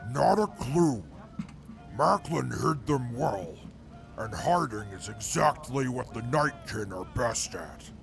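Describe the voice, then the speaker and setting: An actor playing a reformed male mutant soldier in an audio drama, speaking in a formal, measured way. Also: medium pitch, expressive, creaky, faint music behind. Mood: grave. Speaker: former enemy scout now with the crew.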